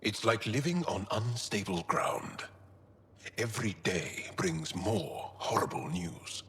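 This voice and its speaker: An actor playing a gentle, earnest male alien resistance fighter in a video game, speaking in a measured, solemn, heartfelt way with a deep, gravelly voice. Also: lively intonation, big-room sound. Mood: sorrowful. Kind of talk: video game cutscene acting.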